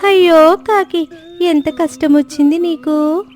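Woman as a high-pitched, nosy cartoon parrot. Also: slow pace, darkish tone. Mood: coaxing. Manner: coaxing, questioning. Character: nosy, scheming female parrot, outwardly sympathetic. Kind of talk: children's cartoon story dialogue. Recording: music bed under the voice.

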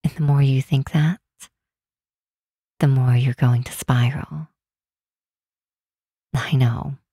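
Woman as a very deep-voiced, breathy demon queen. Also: fairly even intonation, dry recording, clean studio sound, heavily acted. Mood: gentle, consoling. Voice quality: soft, breathy, hushed. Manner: slow, deliberate, soothing. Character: regal, protective demon queen, gently reassuring. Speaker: ASMR roleplay voice actor. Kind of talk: ASMR roleplay, comforting monologue.

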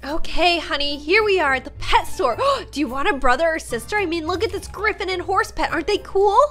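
A woman's voice: very high voice, lively intonation, fairly quick, a bit bright. A young woman hosting a gaming video, acting out a roleplay expressively. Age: young adult. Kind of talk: dramatized game roleplay. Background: faint music.

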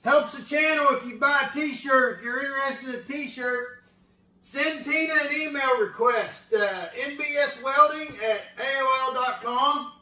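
Folksy man, bright high voice. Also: a little slow, rough recording. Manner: folksy, relaxed storytelling with fillers. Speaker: welding channel host. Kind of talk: casual vlog talk to camera. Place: in a truck cab, then a kitchen break room.

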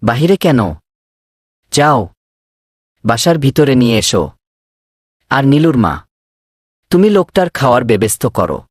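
A man voicing a generous middle-aged father in a children's cartoon, hospitable and gently insistent. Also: mid-range voice, very animated, unhurried, long pauses, husky, no room sound. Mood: welcoming. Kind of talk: children's moral cartoon dubbing.

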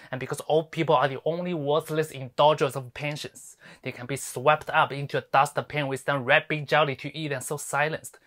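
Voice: medium pitch, lightly acted. An actor playing a sardonic, fiery lawyer in a theatrical monologue, scolding with scathing rhetorical questions. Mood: scornful. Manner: scathing, rhetorical, swinging from hushed to ranting. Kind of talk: theatrical dramatic monologue performance.

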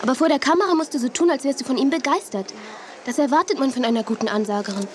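An actress playing a sensible, encouraging young TV presenter in a children's radio play. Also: female voice, sits high, very fast, a little hiss or hum, close to an everyday voice.

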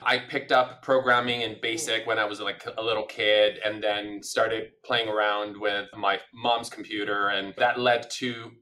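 Casting: middle-aged Italian-born man, native Italian, bright voice, talks in long winding answers. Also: fairly low pitch, flat delivery, measured pace, hardly any pauses. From Italy. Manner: thoughtful, discursive, many fillers. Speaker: podcast guest. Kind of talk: casual interview answers.

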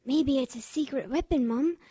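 Woman playing a high-voiced cheeky schoolboy. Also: normal speed, dark tone, no room sound, lightly acted. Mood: eager. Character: cheeky, eager English schoolboy in wartime. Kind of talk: radio drama acting.

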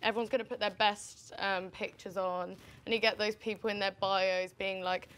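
A woman's voice: medium pitch, fairly even intonation, normal speed, fairly bright tone. A young woman in a casual panel chat, talking through her views in a reflective way. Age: young adult. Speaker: panel guest. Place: small studio with grey backdrop.

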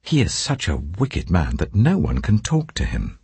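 An older man voicing an anxious servant, speaking urgently and a little higher than his own voice. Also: huge pitch swings, normal speed, gravelly, darkish tone, breathy edge, no room sound, exaggerated voice.

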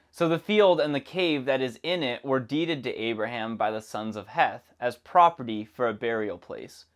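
A young man presenting a scripted Bible lesson in a measured, even reading voice, recorded on a lapel mic in a dark studio. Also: medium pitch. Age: young adult. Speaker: Bible teacher. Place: dark studio with plants behind.

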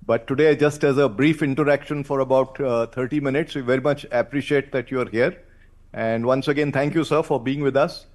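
Courteous Indian man, mid-pitched and hesitant. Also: fairly even intonation, a little fast. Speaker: industry council president chairing the meeting. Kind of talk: polite formal welcome. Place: conference hall, at a long panel table.

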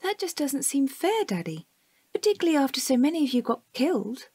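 A woman's voice: high voice, very animated, a little fast, dark tone, no echo, clean studio sound. A woman with a British accent voicing a curious, earnest young child questioning a parent in an audio drama. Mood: earnest, concerned. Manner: inquisitive, childlike.

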